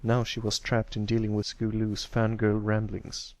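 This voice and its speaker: A man's voice: lowish voice, monotone, normal speed, darkish tone, no room sound. A man reading scripted story narration as an amateur audiobook narrator.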